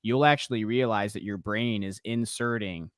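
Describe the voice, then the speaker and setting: A man's voice: on the low side, normal speed, no echo, studio quality. An American man hosting a casual podcast interview, giving advice in a conversational way. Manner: advice-giving, conversational, many "you know"s. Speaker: podcast host.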